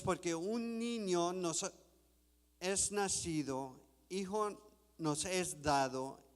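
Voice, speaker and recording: A man preaching a sermon in a church, reading scripture slowly and deliberately. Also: a little high, clear, resonant tone, long reverb tail. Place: church sanctuary.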